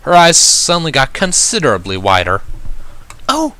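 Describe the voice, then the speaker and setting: A man giving an expressive amateur narration of a fan fiction story. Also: lowish voice, a little fast.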